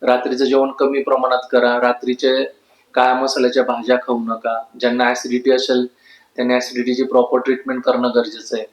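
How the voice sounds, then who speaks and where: A man's voice: mid-range voice, completely flat delivery, a little fast. A man explains medical advice informally, in an instructive way that repeats key points. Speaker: ENT doctor giving health advice. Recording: echoey room.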